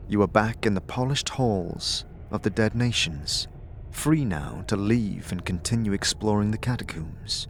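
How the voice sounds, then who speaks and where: A man giving scripted fantasy narration in a steady, second-person storytelling voice. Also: fairly low pitch.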